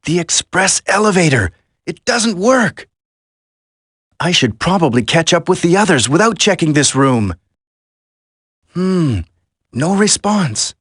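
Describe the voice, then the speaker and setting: An actor playing an earnest, determined young special agent in a video game, speaking dramatically. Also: male voice, sits high, big pitch swings, slow pace, long pauses, a bit bright, husky, no echo, studio quality, exaggerated voice. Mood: focused, worried. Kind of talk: video game dialogue acting.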